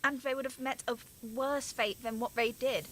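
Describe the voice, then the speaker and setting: A woman's voice: sits high, steady tone, normal speed, a bit bright, slight background noise. A woman playing a guilt-ridden scientist who blames herself, talking with anguish and urgency. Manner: anguished, self-blaming, urgent pleading. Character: guilt-ridden female scientist, younger sister. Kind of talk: amateur radio drama acting.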